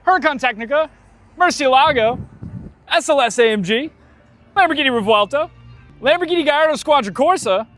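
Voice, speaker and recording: A man's voice: very high-pitched, lively intonation, fairly quick, pauses often, a bit bright, faint music behind. A man vlogging at a car week, enthusiastic and exclaiming over the cars he spots. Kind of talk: excited car-spotting vlog.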